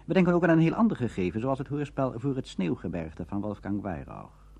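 Husky male narrator with lively intonation. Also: mid-range voice, brisk. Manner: formal, expository. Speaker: presenter of a radio drama documentary. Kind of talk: scripted lecture narration.

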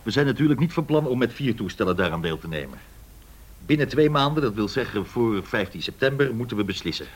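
An actor playing a brusque, commanding airline director in a radio drama, curt, authoritative and probing. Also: male voice, medium pitch, lively intonation, fast, husky. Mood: brisk, commanding.

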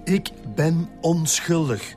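A man's voice: a little high, slow, warm tone. A middle-aged man with a Flemish accent acting a distressed, emphatic suspect in a radio drama. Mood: protesting. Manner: emphatic, pleading. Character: distressed male murder suspect, father protesting his innocence. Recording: music behind the voice.

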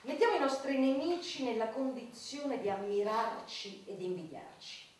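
Woman acting an insistent lady minister, declamatory, emphatic, radio satire, lively intonation, far from the microphone. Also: on the high side, moderate pace, close to an everyday voice. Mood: earnest, proposing. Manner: declamatory, emphatic, enumerating points. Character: zealous, insistent female government minister pitching absurd schemes. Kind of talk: satirical stage radio drama. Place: large echoey room, recorded from afar. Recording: distant, very echoey hall, faint noise.

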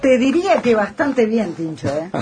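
A man's voice: high-pitched, sing-song, a little slow, darkish tone, soft background music. A man with a Rioplatense Argentine accent hosting a casual radio interview, chatty, repeating phrases and tagging on questions. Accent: Rioplatense Argentine Spanish. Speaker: radio host. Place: radio studio.

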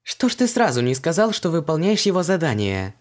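Man voicing an excitable, high-pitched young woman. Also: normal speed, natural voice. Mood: grumbling. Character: young woman, excitable, bossy and squeamish daughter. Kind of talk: audiobook character voice.

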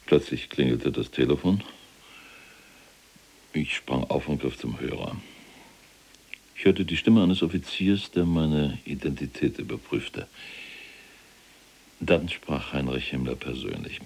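Very deep gravelly proud SS general. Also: male voice, animated, unhurried, pauses often, darkish tone, heavily acted. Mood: proud recounting. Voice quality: gravelly, husky. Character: proud imprisoned former SS general, rigid, self-justifying. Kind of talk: German radio drama acting.

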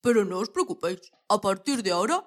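A woman's voice: mid-range voice, sing-song, quick pace, lightly acted. A woman playing a crafty, persuasive farmer in a children's audio story. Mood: coaxing. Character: crafty, persuasive male farmer selling deals to hens.

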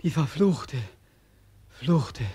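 An anguished, higher-voiced broken soldier. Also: male voice, animated, extremely slow, dark tone, slightly rough, full character voice. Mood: grimly resolved. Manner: anguished, rhetorical, repetitive, swinging from whispers to cries. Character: bitter, desperate, war-broken returning soldier. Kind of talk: German radio drama acting.

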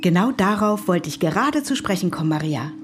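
An actress in a German radio play playing a detached, irritable mother and lecturer, speaking in a measured, formal, didactic way. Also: fairly low pitch, expressive, over music, close to an everyday voice. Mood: formal lecturing. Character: Vashti, detached, irritable mother and lecturer in machine-run future.

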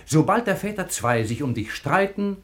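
A man's voice: high voice, very animated, moderate pace, a bit bright. An actor playing a proud, magnanimous sultan with a fatherly streak, in a theatrical, authoritative radio play delivery. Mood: fatherly, generous. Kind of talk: classical German radio play acting.

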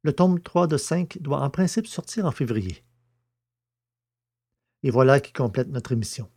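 Mellow male podcast host. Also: medium pitch, expressive, a little slow. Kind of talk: scripted podcast presentation, credits and announcements.

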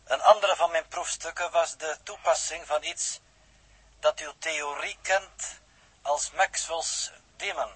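Husky, formal renegade scientist. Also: male voice, fairly high pitch, slow, a bit bright, dry recording, exaggerated voice, rough recording. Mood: coolly courteous. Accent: Netherlands Dutch. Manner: pedantic, elaborately polite, precise. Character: formal, mysterious, erudite male renegade scientist. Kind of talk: 1960s Dutch radio drama acting. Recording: 1960s radio drama recording.

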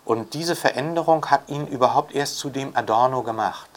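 A man's voice: mid-range voice, moderate pace. Older man, reflective and emphatic scholar. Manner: reflective, emphatic, with frequent rhetorical tags. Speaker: author and scholar interviewed about Adorno. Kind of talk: unscripted intellectual interview talk.

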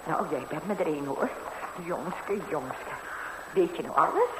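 An actress playing a doting, scolding old housekeeper, fussing, sighing and coaxing in a 1960s radio play. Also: female voice, deep voice, animated, a little slow. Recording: noisy vintage 1960s radio recording.

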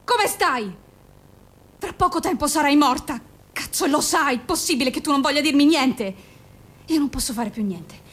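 An actress in an Italian radio play playing a grave forensic doctor, firm and controlled, with an insistent, blunt way of talking. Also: female voice, high voice, expressive, a bit bright. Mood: urgent, exasperated. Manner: measured, insistent, bluntly direct.

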